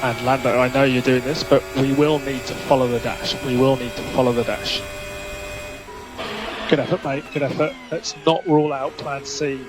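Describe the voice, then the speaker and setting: A man speaks over a noisy motor racing radio link as a race engineer. His delivery is clipped and measured, with short technical instructions that he often repeats. Vehicle and mechanical noise sits behind the voice. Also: medium pitch, steady tone. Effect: team radio.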